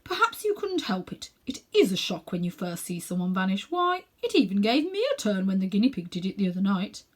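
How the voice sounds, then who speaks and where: Woman acting middle-aged gentleman magician, pompous, condescending, sinister, self-important, drawn-out phrasing, storybook villain. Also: mid-range voice, big pitch swings. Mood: sly. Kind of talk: children's storybook character reading.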